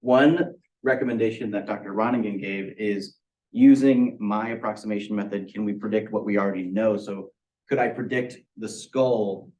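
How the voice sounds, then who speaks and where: A young man with a slightly low voice speaks as a student in a project review. He gives measured, technical explanations in answer to questions. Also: a little mellow, low-quality recording. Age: young adult. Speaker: university student presenting a project.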